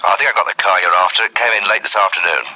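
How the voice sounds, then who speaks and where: Hesitant garage manager, deep but very sharp tone, informal, noisy old radio recording. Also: male voice, racing, exaggerated voice. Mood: hesitant, helpful. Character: plain-spoken, hesitant male motor workshop manager. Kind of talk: vintage radio drama acting.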